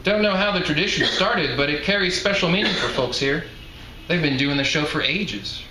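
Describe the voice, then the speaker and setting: A man's voice: fairly high pitch, lively intonation, normal speed, close to an everyday voice. A man voicing a chatty, blunt elderly woman bartender in a folksy manner while reading a novel aloud. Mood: folksy, confiding. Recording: echoey room, faint background noise.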